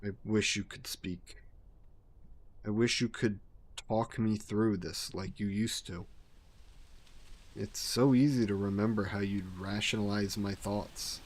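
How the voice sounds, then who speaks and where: An actor playing a grieving ancient vampire in an audio drama, speaking in a regretful, halting, introspective way. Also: male voice, fairly even intonation. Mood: conflicted. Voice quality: low, slow and brooding.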